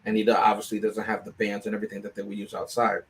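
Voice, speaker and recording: A man's voice: lowish voice, monotone, racing, fairly bright tone. A man speaking as a panelist on a film review show, in casual, analytical discussion, often checking in with "right?".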